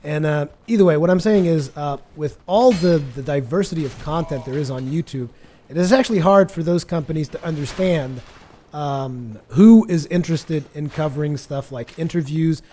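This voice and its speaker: Higher-pitched man, mellow, chatty. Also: moderate pace, a little hiss or hum. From Portugal. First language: Portuguese. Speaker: gaming video host. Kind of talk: casual chatty gameplay commentary.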